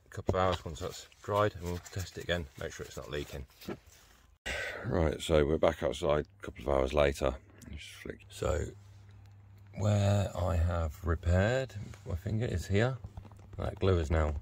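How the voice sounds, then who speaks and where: A British man in his forties with a deep voice talks casually through a hands-on job. He is low-key and half talks to himself, recorded on a handheld phone with a little ambient noise behind him. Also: moderate pace, a little mellow. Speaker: vlogger demonstrating a repair. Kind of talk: casual running commentary on a DIY job. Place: covered outdoor patio by a hot tub. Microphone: handheld phone camera mic.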